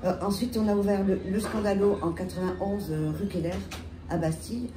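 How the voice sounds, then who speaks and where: A woman with a French accent and a head cold, telling her story on a panel with halting restarts. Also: mid-range voice. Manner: halting, many restarts and fillers. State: has a cold. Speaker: bar owner, panelist. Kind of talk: panel talk, recounting her history. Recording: echoey room, distant mic, loud background noise.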